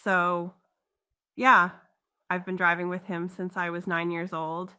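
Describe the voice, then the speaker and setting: A woman's voice: fairly low pitch, level tone, unhurried, sharp tone, clear, resonant tone. A woman voicing a cocky, reckless young girl in a comic dub, breezy and argumentative.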